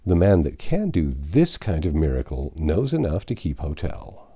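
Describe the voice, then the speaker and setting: A man's voice: very deep voice, huge pitch swings, normal speed, warm tone, breathy edge, pitched below the performer's own voice, heavily acted. A man voicing a confident, easily exasperated Yankee in a novel reading, blunt, plain-spoken and boastful. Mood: self-assured. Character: confident, exasperated Yankee man stranded among medieval folk. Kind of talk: audiobook character dialogue.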